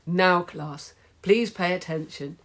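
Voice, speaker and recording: A woman's voice: lowish voice, slow. A woman reading a children's story, voicing a strict, stern female schoolteacher who speaks in a clipped, scolding way.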